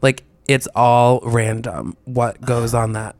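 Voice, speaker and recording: Smooth-voiced man in casual podcast chat. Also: mid-range voice, fairly even intonation, slow, no echo. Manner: rambling, filler-heavy, reads listener letters aloud. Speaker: podcast co-host.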